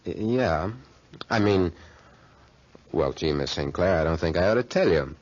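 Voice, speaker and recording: An actor in a 1940s radio drama playing a brash, smooth-talking young schemer with slangy, cocky tough-guy talk. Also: low-pitched, expressive, unhurried, a little mellow. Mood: slyly charming. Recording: muffled 1940s radio recording.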